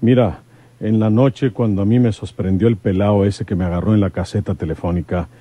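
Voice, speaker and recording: A man acts out an older rural detective from a Mexican radio soap. The voice is very mellow and fairly low, with a folksy northern Mexican drawl and a stern fatherly temper. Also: steady tone, brisk, no echo, close to an everyday voice. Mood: explaining. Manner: rustic folksy speech.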